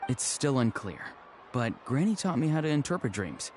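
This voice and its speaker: Man as an earnest young dream interpreter, mid-pitched. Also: sing-song, moderate pace, darkish tone, slight background noise, lightly acted. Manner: earnest, careful, explanatory. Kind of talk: anime-style video game voice acting. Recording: faint music behind the voice.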